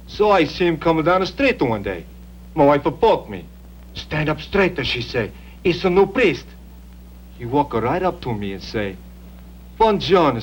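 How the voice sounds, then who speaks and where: An actor playing an excitable, warm working-class Italian immigrant husband, telling stories in broken English with an Italian accent. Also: male voice, medium pitch, slight background noise, low-quality recording. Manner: broken English, animated storytelling. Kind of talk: acted documentary-style interview.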